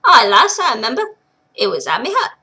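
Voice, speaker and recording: A woman doing a gruff old mountain hermit. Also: on the high side, wildly swooping pitch, a little fast, full character voice. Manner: shouty, blustering.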